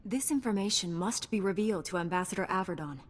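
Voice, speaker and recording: Woman acting a determined heroic jedi-type knight, medium pitch, firm, lots of hall reverb. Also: fast, natural voice. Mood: stern, resolute. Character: resolute young female Jedi knight, firm and righteous. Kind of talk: video game cutscene acting.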